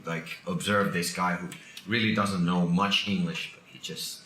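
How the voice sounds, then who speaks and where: A man's voice: low voice, fairly even intonation, normal speed. A man talking casually in a discussion. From Finland. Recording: echoey room with background noise.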